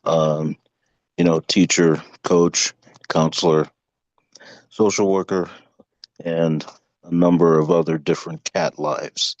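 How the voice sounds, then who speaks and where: Very deep-voiced man, slow and halting. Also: flat delivery, no echo, highs cut off. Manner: slow, halting, many ums. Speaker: state legislator. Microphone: video call.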